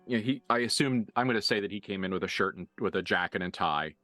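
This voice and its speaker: A man working as game master, improvising narration for a tabletop roleplaying game with deliberate, halting phrasing and dramatic emphasis. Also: on the low side, sharp tone, no echo. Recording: dry voice over background music.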